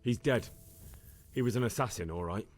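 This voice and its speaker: A man's voice: fairly low pitch, moderate pace, faint music behind, close to an everyday voice. An actor playing a steadfast young medieval man-at-arms in a video game, speaking directly and confidently. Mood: matter-of-fact. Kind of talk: dramatic video game acting.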